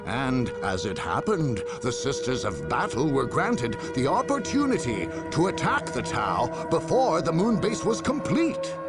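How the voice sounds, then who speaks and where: An actor playing a grave chronicler of war, narrating a video game's story in a solemn, deliberate, theatrical manner. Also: male voice, on the high side, vocal fry, heavily acted. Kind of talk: dramatic scripted narration for a game.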